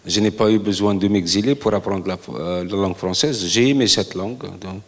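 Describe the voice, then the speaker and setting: A man on a panel speaking haltingly, with frequent pauses and fillers. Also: on the low side, steady tone. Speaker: panel guest. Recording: reverberant hall with faint clicks.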